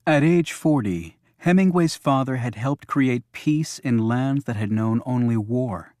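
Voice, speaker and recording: Male narrator for thriller audiobook, medium pitch, scripted read, very dry studio-style sound. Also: measured pace, mellow, dry recording.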